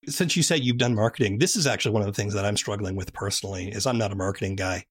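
Low-pitched man hosting a podcast. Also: very fast. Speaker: podcast host and audio drama creator. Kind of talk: podcast interview and scripted outro.

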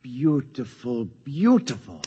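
An actor playing a bumbling, vague, easily delighted president who stammers and hesitates, in 1950s radio drama style. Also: male voice, medium pitch, sing-song, slow pace, mellow, breathy edge, muffled recording, full character voice. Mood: delighted, apologetic.

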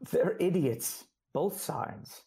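An actor playing a calm, earnest male alien officer who hopes to be a Jedi, with a husky, intimate, measured voice in an audio drama. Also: a little high, heavily acted. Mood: scornful.

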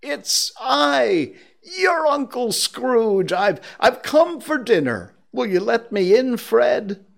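A man voicing an elderly reformed miser in a storybook, a mischievous and big-hearted figure with a theatrical, drawn-out, emphatic delivery. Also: mid-range voice, huge pitch swings, heavily acted. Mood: jubilant, eager. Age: older. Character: elderly miser turned jubilant, booming and mischievous, warm-hearted.

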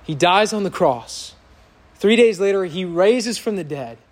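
A man preaching to his congregation in a church, his voice loud and somewhat high for a man. He speaks earnestly and with emphasis, building to impassioned peaks. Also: expressive, a little slow. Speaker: pastor. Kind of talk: sermon.

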